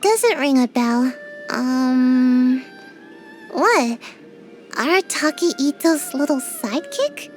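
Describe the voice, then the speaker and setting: A drowsy little ninja girl with a high, bouncy voice that swings up into squeaky complaints. She talks slowly and sleepily, mumbling and sulking like a shy, grumpy kid in a game. Faint music plays behind her. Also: big pitch swings, exaggerated voice. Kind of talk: game character voice lines.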